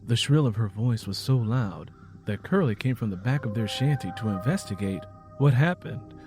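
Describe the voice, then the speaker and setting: A man's voice: mid-range voice, lively intonation, moderate pace, music in the background. A man reading a dramatic story as a scripted audiobook narration.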